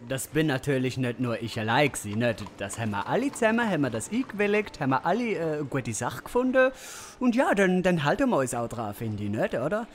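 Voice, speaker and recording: Man playing a high, swooping, pompous village overlord. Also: big pitch swings, brisk, slight background noise. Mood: waffling. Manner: waffling, self-important, hesitant with many tag questions. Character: Gessler, pompous, dithering village overlord who loves comfort. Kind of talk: comic Swiss-German audio play acting.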